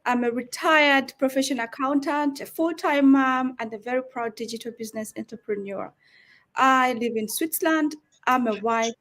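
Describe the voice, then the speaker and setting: A woman from Uganda, a retired accountant, introducing herself softly on a video call. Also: sits high, normal speed. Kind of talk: casual panel chat.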